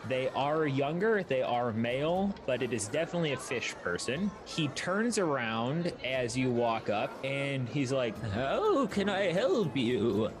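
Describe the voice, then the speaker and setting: A man's voice: mid-range voice, lively intonation, a little slow, few pauses, background noise. A man running a tabletop game as game master, narrating and explaining casually.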